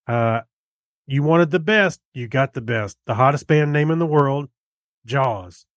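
A man co-hosting a podcast in casual chat, reading things out in a halting, easygoing way. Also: medium pitch, lively intonation, no room sound, studio quality. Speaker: podcast co-host.

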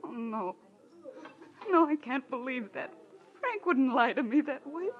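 High-pitched anxious wife in radio drama. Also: female voice, lively intonation, unhurried. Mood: disbelieving, tearful. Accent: American. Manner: earnest, emotional, plaintive. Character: anxious, tearful young wife, devoted and trusting. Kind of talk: 1940s radio drama acting. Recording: dull old-time radio recording.

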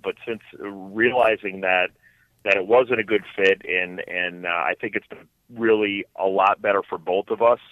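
A man explaining his work as an interview guest in a casual, halting way, restarting his phrases again and again. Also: sits low, no echo. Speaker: podcast guest, financial advisor. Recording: thin phone-like line, dry.

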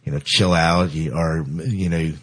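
A man's voice: low-pitched, fairly even intonation, measured pace, a bit bright. A man hosting a podcast in casual, informal chat, often repeating his words. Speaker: podcast host.

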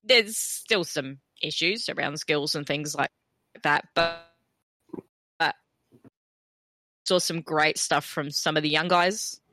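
Bright, croaky Australian woman. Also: deep voice, dry recording. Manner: quick, laughing. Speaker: podcast co-host. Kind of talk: casual sports chat.

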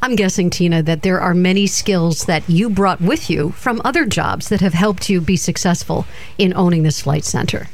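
A woman's voice: on the low side, moderate pace. A woman hosting an interview program, asking questions in an encouraging, curious way. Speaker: interview show host.

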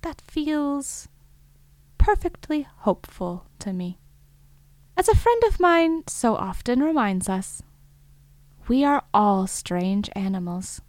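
A woman performing a thoughtful, wondering narrator of strange field reports. Her voice sits fairly high and is recorded very dry, with no room around it. She speaks in measured, lyrical phrases, as in an intimate audio drama. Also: big pitch swings, darkish tone, no echo. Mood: gently hopeful. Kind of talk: scripted reflective first-person audio fiction monologue.

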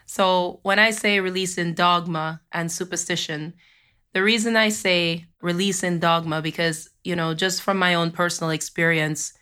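A Jamaican-born woman hosts a spiritual podcast, her lowish voice smooth and clear despite the cold she is fighting. She talks in a loose, rambling way with plenty of fillers, after chanting slow affirmations. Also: fairly even intonation, bright, forceful tone. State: has a cold, losing her voice. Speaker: podcast host.

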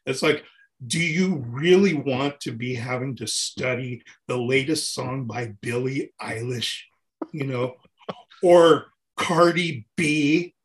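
A man in his sixties, a touring keyboard player, talking animatedly in a casual interview and often restarting his sentences. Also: medium pitch. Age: 60s. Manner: animated, talks in long runs with restarts.

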